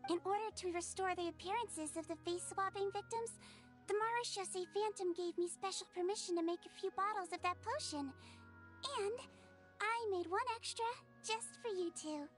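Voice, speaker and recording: A woman voicing a small, gentle, youthful nonhuman girl doctor in a video game, with an earnest, measured manner. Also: very high-pitched, soft background music. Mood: earnest, grateful.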